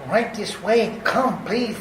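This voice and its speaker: A man's voice: high voice, slow, a bit bright. A man playing a timid, hesitant doctor who is a criminal's nervous sidekick in a radio play. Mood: nervous urging. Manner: hesitant, pleading. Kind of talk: old-time radio play acting. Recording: echoey room with noticeable noise.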